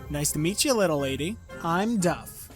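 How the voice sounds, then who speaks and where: An actor playing a playful, macabre, slow-witted skeleton prankster in an audio drama, joking and easygoing. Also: female voice, sits low, lively intonation, soft background music. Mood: friendly, joking.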